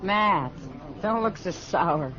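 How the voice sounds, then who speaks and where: A woman's voice: fairly low pitch, lively intonation, slow pace, music in the background. An actress playing a worldly, knowing frontier woman in a 1950s radio western, with a teasing manner. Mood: reassuring. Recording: dull old radio recording.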